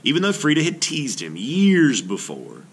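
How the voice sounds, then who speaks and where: A man reading a children's biography aloud like an art teacher, in an expressive storytelling way with sentences that trail off. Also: fairly high pitch, moderate pace. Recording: noticeable background noise.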